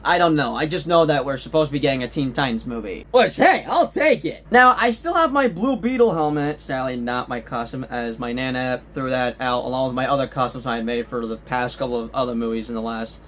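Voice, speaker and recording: Excitable young man, a bit high. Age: young adult. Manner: enthusiastic, rambling, swears casually. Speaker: YouTube movie reviewer. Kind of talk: casual movie review.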